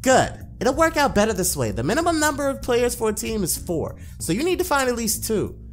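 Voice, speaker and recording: A man's voice: very high-pitched, big pitch swings, fairly quick, faint music behind, pitched above the performer's own voice. A man voicing a blunt, demanding young female pro gamer in a visual novel, with a bossy, no-nonsense manner.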